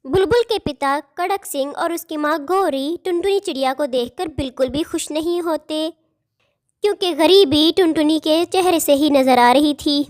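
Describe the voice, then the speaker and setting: A woman narrator reading a scripted cartoon story for children. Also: high-pitched.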